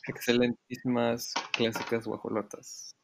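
A young adult man with a Mexican Spanish accent co-hosting a casual podcast, keen and quick with short remarks. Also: mid-range voice, little pitch movement, creaky, heavy background noise. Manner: short enthusiastic interjections. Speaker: podcast co-host. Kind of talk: casual chat.

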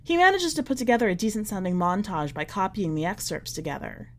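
A woman narrating literary fiction from a script, with measured, deliberate phrasing that falls away at the ends of lines. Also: mid-range voice, expressive. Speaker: podcast editor reading a short story aloud.

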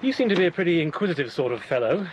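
A man's voice: on the high side, big pitch swings, rapid-fire. An actor playing a wary, grudging hired boatman in a period radio crime drama, curt and evasive. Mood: surly, guarded. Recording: noisy boat and water sound effects. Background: boat and water sounds.

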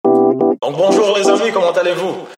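A man interviewing guests in a playful way, with quick reactions. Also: high-pitched, loud music behind the voice. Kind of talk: casual interview. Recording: echoey room, voice from a distance.

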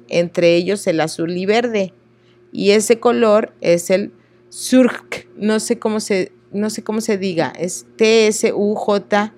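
A woman's voice: mid-range voice, darkish tone. A woman from Oaxaca, reading texts aloud and stopping for earnest, apologetic asides when she stumbles over unfamiliar words. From Oaxaca, Mexico.

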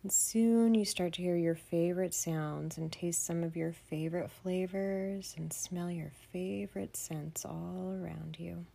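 A woman leading a children's bedtime meditation in a soothing, gentle manner, often dropping to a breathy near-whisper. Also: fairly low pitch, fairly even intonation, unhurried, hardly any pauses. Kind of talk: guided bedtime meditation for kids.

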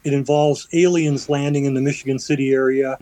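A man's voice: mid-range voice, steady tone, brisk, dark tone, dry recording. A man, vice president of a community theater group, chatting as a guest on a radio arts show and explaining with fillers and restarts. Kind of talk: radio interview.

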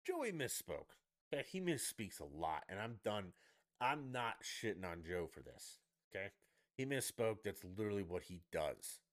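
A man's voice: sing-song, moderate pace. A man hosting a solo podcast, ranting sarcastically with lots of swearing and mocking asides. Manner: mimics others in high mocking voices. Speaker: podcast host. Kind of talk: solo opinion rant.

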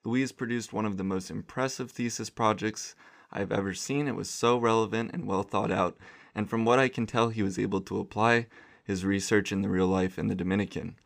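Gravelly low-voiced podcast host. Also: male voice, fairly even intonation, brisk. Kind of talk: podcast interview.

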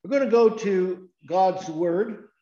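A man leading a church service as pastor, talking in a slow, earnest way with frequent restarts. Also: high-pitched, warm tone. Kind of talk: sermon. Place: church sanctuary. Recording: roomy, dull church recording.

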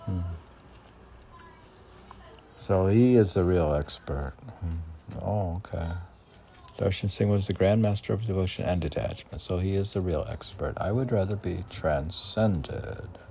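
A man's voice: deep voice, measured pace, vocal fry, long pauses, warm tone, a little hiss or hum. A man reading his own poetry aloud and musing to himself between lines, often repeating phrases. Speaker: poet reading own work. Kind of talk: poetry reading with muttered asides.

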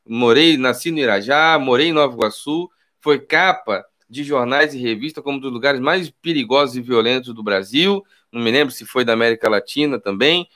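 Man, Carioca accent, political commentator, emphatic, slangy, slightly high pitch. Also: a bit bright. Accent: Carioca Brazilian Portuguese. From Rio de Janeiro, Brazil. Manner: emphatic, repeats key phrases, slangy. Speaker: political YouTuber. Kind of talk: casual political commentary with his wife.

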